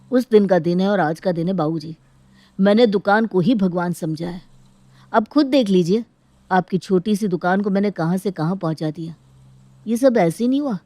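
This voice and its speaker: A woman's voice: mid-range voice, darkish tone, no echo, lightly acted. Indignant, hardworking elder brother, voiced by a woman. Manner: aggrieved, earnest, protesting. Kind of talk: dramatized Hindi moral story acting.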